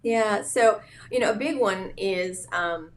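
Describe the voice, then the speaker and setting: A woman's voice: medium pitch, takes it slowly. A woman from Hawaii, a business founder, talking as a guest in a casual interview, thoughtful and hesitant with many fillers.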